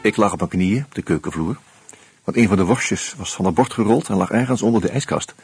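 An actor playing an easygoing amateur sleuth who talks hesitantly and narrates wryly in a Dutch radio drama. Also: male voice, on the low side, brisk, darkish tone, faint music behind, natural voice. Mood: wry narrating. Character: Hans, easygoing amateur sleuth and wry first-person narrator.

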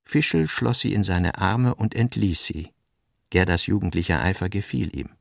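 A man narrating a literary radio drama in a measured, sober manner. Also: low voice, creaky, dry recording, clean studio sound.

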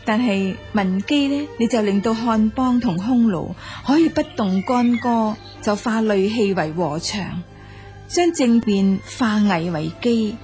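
Stately, reassuring Cantonese empress, acted by a woman, medium pitch. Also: a little slow, mellow, soft background music, natural voice. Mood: admiring. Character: gracious ancient Chinese empress, praising and consoling. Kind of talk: Cantonese audio drama acting.

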